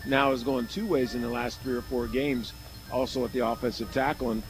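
A man giving unscripted football analysis as a radio color commentator, halting and repeating words as he thinks aloud. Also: mid-range voice, fairly even intonation, soft background music, poor audio.

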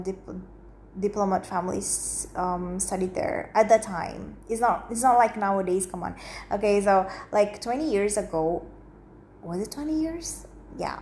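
A woman's voice: mid-range voice, darkish tone, a little hiss or hum. A young adult woman who grew up in Romania and Indonesia, talking in a casual solo monologue, chatty and full of fillers and restarts. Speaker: podcast host.

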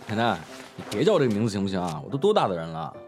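Brash teenage boy, animated swings in pitch, slangy and teasing, audio drama with faint music. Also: very fast. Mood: impatient. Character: brash, foul-mouthed teenage schoolboy, playful and teasing. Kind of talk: Chinese audio drama acting.

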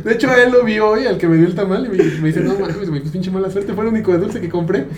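A man's voice: sits high, quick pace, reverberant, a little hiss or hum. A young Mexican man with a Mexican Spanish accent, telling a story in casual podcast chat and acting out the other people with laughter. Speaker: podcast co-host.

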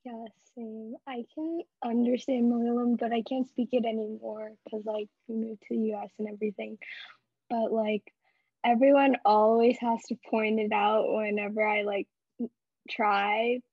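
Husky teen girl on muffled mic. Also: a little high, level tone, normal speed, dry recording. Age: teens. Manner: soft-spoken, filler-heavy. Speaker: podcast co-host. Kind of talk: casual chat.

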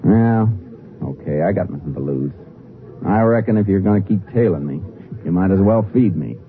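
An American actor playing a surly, guarded jailbird in a 1950s radio drama, talking in a gruff, curt way. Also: male voice, low-pitched, expressive, moderate pace. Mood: guarded, terse. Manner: gruff, curt, distrustful drawling talk. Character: undercover Texas Ranger posing as surly, guarded male jailbird. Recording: tinny 1950s radio recording, faint music.

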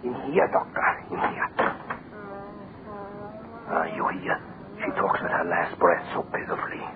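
An actor playing a fussy, agitated old village mayor in a radio drama, halting and deferential. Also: male voice, low voice, level tone. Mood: deferential, flustered. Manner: agitated, halting, obsequious with superiors. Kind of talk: 1940s radio drama acting. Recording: tinny old radio recording, clear hiss.

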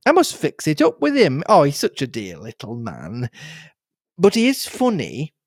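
A man's voice: a little high, huge pitch swings, moderate pace, breathy edge, dry recording, studio quality, full character voice. A man voicing a shy, earnest young woman in a storybook reading, with a girlish, hesitant delivery. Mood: eager.

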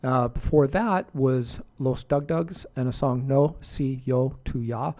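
A middle-aged man with a warm, mellow voice talks on the radio between songs. He rambles loosely with lots of fillers and false starts, at an easy, ordinary pitch. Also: slow. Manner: relaxed, rambling, full of fillers and restarts. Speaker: radio show host.

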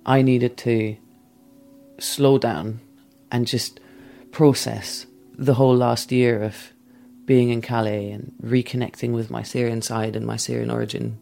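Man, gravelly, reflective, measured, candid personal story. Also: medium pitch, little pitch movement, dark tone, soft background music. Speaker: interviewee recounting a relationship.

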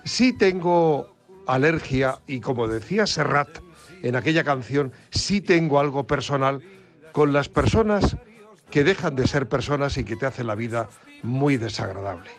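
A man's voice: mid-range voice, animated, a little slow, soft background music. A man giving a reflective, emphatic opinion piece on the radio, with frequent hesitations. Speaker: radio program director, columnist. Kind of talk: opinion commentary.

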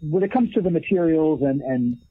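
A man's voice: a little high, expressive, brisk, no room sound. A man in his late thirties talking casually in an interview, rambling with many restarts and fillers. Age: 30s. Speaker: leatherwork artist guest. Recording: tinny phone line.

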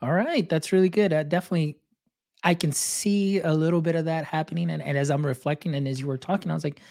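A man's voice: on the high side, steady tone, quick pace, gravelly, darkish tone, dry recording, clean studio sound. A man hosting a podcast, a native Spanish speaker, talking in a reflective, storytelling way with many fillers. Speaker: podcast host. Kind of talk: casual interview chat.

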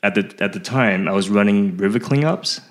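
Very low-pitched man, casual and halting. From Taiwan, later Australia and Canada. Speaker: podcast guest, fishing website founder. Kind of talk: casual interview chat.

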